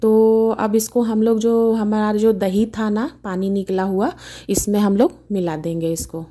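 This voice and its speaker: A woman's voice: mid-range voice, fairly even intonation, a little slow, warm tone, a little hiss or hum. A woman hosting her own cooking channel, chatting through a recipe step by step in her home kitchen. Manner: chatty, homely step-by-step explaining.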